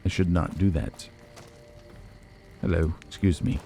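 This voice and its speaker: A man's voice: low-pitched, little pitch movement, a little slow, gravelly, dark tone, soft background music. A man giving casual let's play commentary, musing and thinking aloud as he goes. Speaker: let's player.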